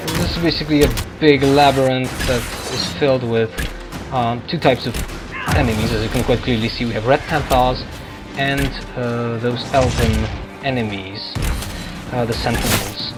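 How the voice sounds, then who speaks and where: A man giving casual game commentary, thinking aloud in a halting, hesitant way. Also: mid-range voice. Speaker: gameplay streamer. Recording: game music behind. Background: video game music.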